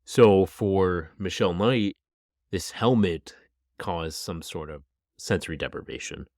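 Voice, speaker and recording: A man's voice: low-pitched, measured pace, a little mellow, no room sound, studio quality. A man hosting a true-crime podcast in a chatty, apologetic way while sick with a stuffy, nasal voice. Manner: chatty and apologetic, with some restarts. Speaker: podcast host.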